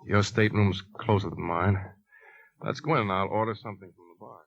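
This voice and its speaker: A man's voice: on the low side, fairly quick, vocal fry. An actor playing an earnest, anxious, determined man in 1950s radio suspense acting. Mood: guarded. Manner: earnest, tense, hesitant. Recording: dull 1950s radio recording.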